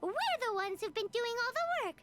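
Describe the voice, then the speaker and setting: A woman's voice: sing-song, brisk. A woman voicing a tiny, bossy fairy companion girl who talks about herself by name, in a game. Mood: indignant. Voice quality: squeaky, refers to herself by name. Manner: indignant, pushy.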